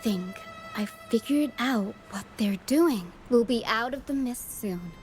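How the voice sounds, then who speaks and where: A woman's voice: on the high side, animated, slow pace. A woman playing a protective, composed young woman guiding others in a video game cutscene. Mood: calm, cautioning. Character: protective young woman guide through dangerous mist. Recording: music behind the voice.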